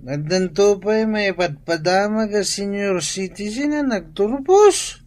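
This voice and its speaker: A woman's voice: medium pitch, expressive, measured pace, darkish tone, close to an everyday voice. A woman playing a flippant, carefree young student son in a radio drama, with a northern Philippine accent.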